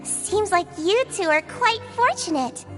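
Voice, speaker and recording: An actress voicing a petite, gentle, girlish nonhuman head nurse in a video game, polite and caring. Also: very high-pitched, animated, measured pace, faint music behind, full character voice. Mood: gently chatty.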